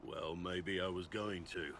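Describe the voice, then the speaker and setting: Low-voiced, earnest warrior warden. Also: male voice, unhurried, fairly bright tone, a little hiss or hum. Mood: guarded, earnest. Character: gruff, earnest middle-aged warrior warden, male. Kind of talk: video game dialogue. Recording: game music behind the voice.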